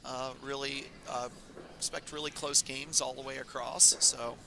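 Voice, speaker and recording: Raspy-voiced man doing curling commentary. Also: mid-range voice, measured pace, background noise. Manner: relaxed, rambling, many fillers. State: hoarse from talking all weekend. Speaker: curling club stream commentator. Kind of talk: casual live sports commentary. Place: curling club.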